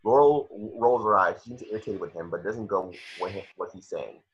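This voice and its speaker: Husky low-voiced man reading stage directions. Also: brisk. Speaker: narrator of action descriptions. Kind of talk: scripted narration in amateur table read. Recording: dull lo-fi home recording.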